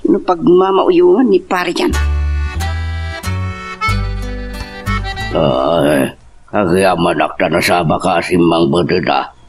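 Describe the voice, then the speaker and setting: A man's voice: low-pitched, sing-song, measured pace, over music, heavily acted. A man playing a cheeky, nosy male workmate in an audio drama, teasing and flirtatious. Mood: gossipy. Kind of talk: Ilocano audio drama acting.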